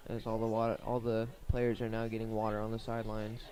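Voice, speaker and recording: A man doing live radio play-by-play of a football game, describing each play step by step in a measured way with pauses. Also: lowish voice, monotone, darkish tone. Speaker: play-by-play sports commentator.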